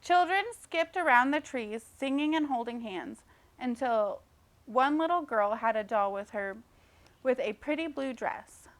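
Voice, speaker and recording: A woman teacher reading a storybook aloud expressively to children, pausing to check in with simple questions. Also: a little high, lively intonation.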